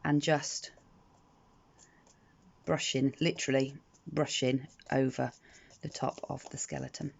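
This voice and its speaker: A British woman sounding croaky in the morning, chatting her way through a craft tutorial with frequent ums and restarts. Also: rumbling low, level tone, takes it slowly, long pauses. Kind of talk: casual step-by-step craft tutorial. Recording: slightly dull lo-fi audio with faint noise.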